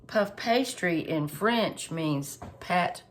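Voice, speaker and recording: A woman, a little hoarse and stuffed up, chatting homily through a cooking tutorial for her viewers. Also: lowish voice, lively intonation, very slow, slight background noise, poor audio. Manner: homey, chatty, lots of fillers, addresses viewers directly. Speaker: home cook channel host.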